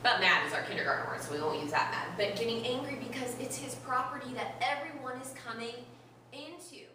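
A young woman teaching an interactive read-aloud to young children, expressive and instructive, stressing key words slowly. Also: a little high. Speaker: primary school teacher. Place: elementary classroom, indoors. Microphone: far camera. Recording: roomy, noisy classroom, distant camera microphone.

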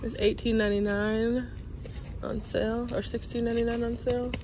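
A woman's voice: fairly high pitch, little pitch movement, brisk, a little mellow, a little hiss or hum, low-quality recording. A woman, chatting and thinking aloud while filming a shopping vlog on a phone in a pet store. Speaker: vlogger. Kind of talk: casual shopping vlog chat. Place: pet store aisle, indoors. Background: store music playing.

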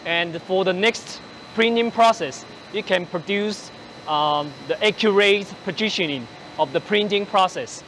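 A man in his forties presenting machinery on a large factory floor, speaking haltingly with many fillers and restarts, using a lapel mic. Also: high-pitched, a bit bright, a little hiss or hum. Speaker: company presenter on a factory tour. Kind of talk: product walkthrough.

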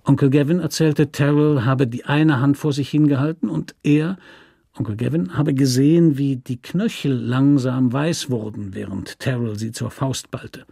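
Husky, mellow male story narrator. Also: mid-range voice, normal speed, dry recording, clean studio sound. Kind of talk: literary radio story narration.

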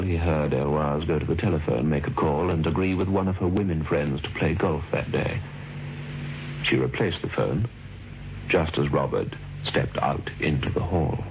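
A man narrating a scripted radio story in a measured, formal, dryly understated way. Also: rumbling low. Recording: dull old radio recording. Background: music.

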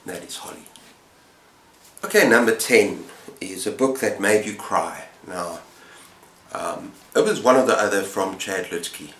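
A man's voice: deep voice, measured pace, a bit bright. A man in his fifties chatting casually and enthusiastically to camera as a book reviewer, rambling a little. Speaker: book reviewer and channel host. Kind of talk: casual chat to camera about books. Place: small indoor room with bookshelves. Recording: roomy echo, faint background noise.

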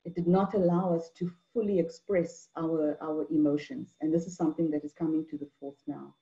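A middle-aged woman from Mauritius gives an online talk on a video call, measured, with many fillers and repeated words. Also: low voice, steady tone, warm tone. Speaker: anthropologist and poet speaker. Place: indoor room at home. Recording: dull lo-fi video call audio.

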